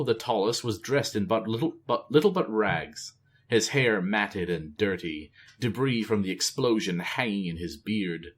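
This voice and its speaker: A man reading a novel aloud in a casual, expressive way. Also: fairly low pitch. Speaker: storyteller.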